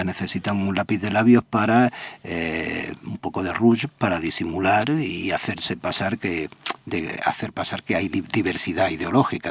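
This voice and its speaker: A man's voice: low-pitched, breathy edge, no echo. A man, a journalist guest on a radio interview, thinking aloud and often restarting his sentences. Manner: reflective, searching for words with restarts. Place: local radio studio.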